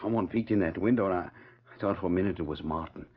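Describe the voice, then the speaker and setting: Humble bank guard, anxious, folksy, stammering hesitance, low voice, muffled 1940s radio recording. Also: male voice, fast talker, slightly rough. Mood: uneasy. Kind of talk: 1940s radio western acting.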